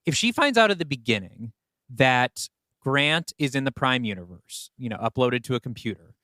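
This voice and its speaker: A man co-hosting a podcast, talking through story ideas casually and reasoning them out in a measured way. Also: medium pitch, lively intonation, bright, forceful tone, no echo, clean studio sound. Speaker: podcast co-host and story writer. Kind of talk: casual brainstorming conversation.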